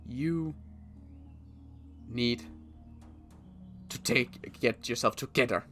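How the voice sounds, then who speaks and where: A man's voice: medium pitch, very slow, a bit bright, soft background music. A man playing a firm subordinate soldier in an improvised Minecraft zombie roleplay, formal and deferential yet insistent. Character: firm, outspoken male subordinate survivor soldier.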